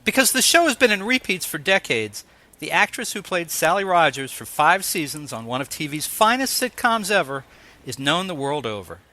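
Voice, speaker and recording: A man's voice: fairly high pitch, sing-song, moderate pace, pushed and bright. A man hosting an interview show, moving between scripted announcements and conversational questions. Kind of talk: scripted introduction and ads, then interview chat.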